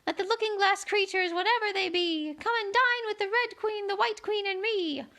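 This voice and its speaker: A woman voicing a shrill singer of a grand royal verse in a storybook reading. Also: normal speed, a bit bright. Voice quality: shrill, singing.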